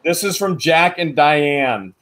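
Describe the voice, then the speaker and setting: A man co-hosting a casual vlog, opening fan mail and reading letters aloud with playful, animated jokes. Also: fairly high pitch, slow. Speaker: channel co-host.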